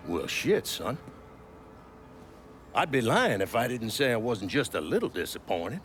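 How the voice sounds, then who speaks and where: An actor playing a gregarious but ruthless older mob boss in a video game, folksy, profane and always selling. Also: male voice, mid-range voice, sing-song, a little slow, a bit bright. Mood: disappointed. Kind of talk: cinematic video game dialogue. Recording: music behind the voice.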